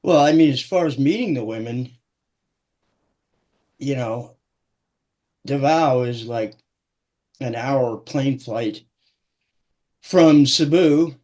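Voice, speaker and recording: A man's voice: mid-range voice, slow, long pauses, darkish tone. A man co-hosting a casual live stream with his wife, answering viewer questions with dry self-deprecating humor. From United States. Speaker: co-host, host's husband.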